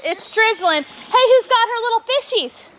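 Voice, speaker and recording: A woman's voice: very high-pitched, animated, normal speed. A woman in her thirties with an American accent, a mother vlogging in a casual, informal, rambling chatty style. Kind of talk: casual chat to camera. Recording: noisy home-video sound with clicks.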